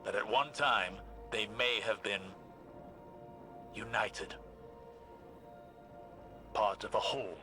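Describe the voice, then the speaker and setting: An actor playing a learned, cautious male scholar in a science fiction game, speaking formally and deliberately with precise phrasing. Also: fairly low pitch, pushed and bright, soft background music. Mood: grave, pondering. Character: grave, learned male scholar in a sci-fi world, measured and cautious. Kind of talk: dramatic video game dialogue.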